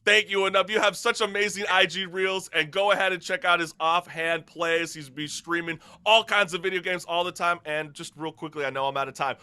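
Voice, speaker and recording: Guy hosting a chatty talk show, really bright forceful voice, slangy and hyped. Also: high voice, fairly even intonation, a little fast, hardly any pauses, clean tone. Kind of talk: casual talk show chat.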